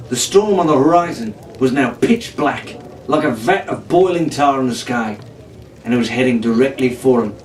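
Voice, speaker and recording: A man narrates a storybook from a script in a mid-pitched voice. The room is echoey and he sits some way from the mic, with faint hiss behind him. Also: far from the mic. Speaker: audiobook reader.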